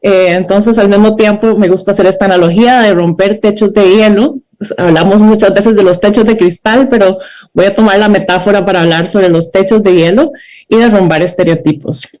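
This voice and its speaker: A woman's voice: mid-range voice, steady tone, a little fast, a little mellow. A Costa Rican woman in her forties giving an earnest, story-driven talk over a video call, with frequent hesitant fillers. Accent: Costa Rican Spanish. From Costa Rica. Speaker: scientist speaker.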